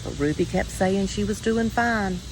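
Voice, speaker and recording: A woman reading a children's story aloud in a measured, expressive way with deliberate pauses. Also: medium pitch, background noise. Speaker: storybook reader.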